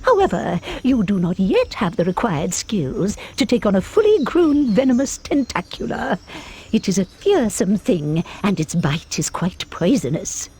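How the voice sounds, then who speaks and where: Husky, lilting witch professor. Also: medium pitch, big pitch swings, dark tone, exaggerated voice. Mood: measured approval. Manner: formal, deliberate, instructing. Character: formal, kindly strict female witch professor of herbology. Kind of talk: video game character acting.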